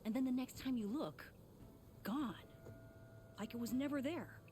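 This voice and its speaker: A woman's voice: high voice, measured pace, dark tone, a little hiss or hum. An actress playing a worried, guilt-ridden female tinkerer in a video game, speaking in an earnest, wry, conversational way. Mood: ominous, hushed. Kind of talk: video game character acting. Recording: faint music behind the voice.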